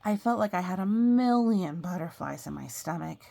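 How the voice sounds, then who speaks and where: A woman's voice: fairly low pitch, animated, normal speed, vocal fry, dark tone. A woman reading a picture book aloud as a storyteller, expressively, with chatty, explanatory asides for young listeners.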